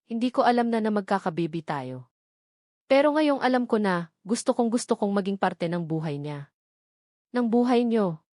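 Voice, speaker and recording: A woman's voice: mid-range voice, animated, moderate pace, pauses often, darkish tone, no echo, clean studio sound, lightly acted. A woman narrator voicing a remorseful, evasive man with a Filipino accent, pleading hesitantly in an audio romance drama.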